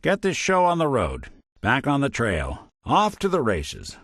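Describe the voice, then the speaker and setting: A world weary robot private eye for a game, dry and wry, pitch moves around a lot, dry close sound. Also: male voice, wildly swooping pitch, measured pace, a bit bright, no room sound, heavily acted. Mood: easygoing, ready. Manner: dry, laconic, wry noir delivery. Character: world-weary, wry android private detective, male, middle-aged. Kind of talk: video game voice acting, noir detective.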